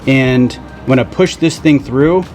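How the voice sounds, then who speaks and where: A man's voice: medium pitch, a little slow, a little mellow, soft background music. A man talking casually through a hands-on how-to in plain, informal steps, as the host of a vlog. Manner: informal, plain-spoken step-by-step explaining.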